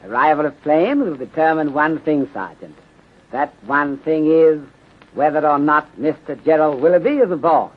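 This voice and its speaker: An actor playing a calm, philosophical police detective in a 1930s radio drama, speaking formally and deliberately with clipped grammar that drops articles. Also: male voice, fairly high pitch, natural voice. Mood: measured, thoughtful. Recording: dull, lo-fi 1930s radio recording.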